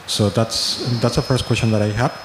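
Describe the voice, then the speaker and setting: A man's voice: lowish voice, fairly even intonation, brisk. A man in the audience asking long, rambling questions at a talk, with many fillers. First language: not German. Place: conference room. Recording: echoey hall, faint noise.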